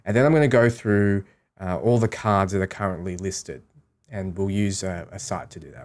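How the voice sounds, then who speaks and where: A man giving casual, explanatory commentary on a game, talking through his strategy as a streamer. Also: on the low side.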